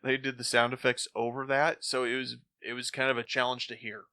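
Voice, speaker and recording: A man's voice: mid-range voice, flat delivery, brisk, pushed and bright, no echo. A man speaking casually as a podcast panelist, discussing and reviewing. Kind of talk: casual discussion of radio drama.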